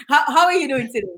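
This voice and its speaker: Dominican lady hosting an online chat, kinda high voice, laughs a lot, mixes in creole. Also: fairly bright tone. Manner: bubbly, laughs often, mixes in Creole phrases. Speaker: host. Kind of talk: casual interview chat.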